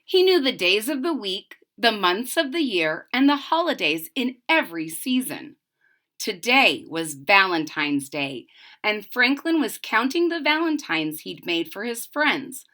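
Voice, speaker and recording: Female children's storybook reader: unhurried, bright, expressive, with explanatory asides. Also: medium pitch.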